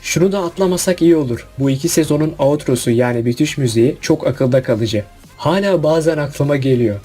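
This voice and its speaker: A man's voice: a little high, brisk, dark tone, breathy edge. A man narrating a scripted countdown review. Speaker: video narrator. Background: background music.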